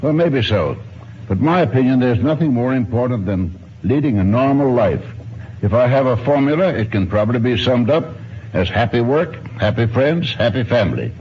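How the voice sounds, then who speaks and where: A man's voice: mid-range voice, animated, normal speed. Very old man on muffled vintage radio. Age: 90s. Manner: formal, precise, old-fashioned phrasing. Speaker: interview guest, archaeologist and ethnologist. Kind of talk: formal radio interview. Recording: muffled, noisy 1950s radio broadcast recording.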